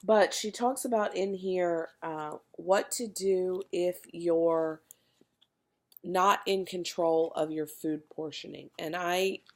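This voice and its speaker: A woman talks to her fitness group in a loose, chatty way, full of fillers and restarts. Her voice is hoarse and cracking, with a gravelly fry underneath and a somewhat low pitch. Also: fairly even intonation, slow, darkish tone. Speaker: fitness program coach. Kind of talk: casual video talk to a group.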